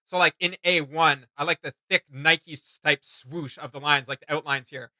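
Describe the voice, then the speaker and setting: A man's voice: a little high, fairly even intonation, moderate pace, sharp tone, no room sound. A man hosting a reaction video, commenting casually in informal, slangy phrasing. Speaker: YouTube reaction host. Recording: music playing behind the voice. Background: music.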